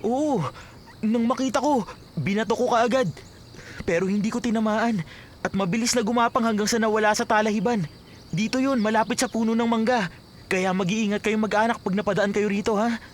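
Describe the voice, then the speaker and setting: A man's voice: high voice, steady tone, fairly quick, a little hiss or hum, natural voice. Earnest young Filipino man played by an actor. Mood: urgent warning. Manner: earnest, dramatic. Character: Abe, earnest, devoted, worried young son. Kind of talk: Filipino radio drama acting.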